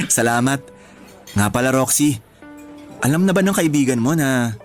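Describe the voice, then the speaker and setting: An actor playing a gentle, sincere young man in love in a Filipino radio romance, earnest and lightly teasing. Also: medium pitch, lively intonation, a little slow, lightly acted. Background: music.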